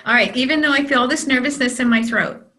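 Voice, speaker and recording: A woman practitioner guiding a client through an EFT tapping session, giving calm phrases for her to repeat. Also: high-pitched, quick pace, a little hiss or hum.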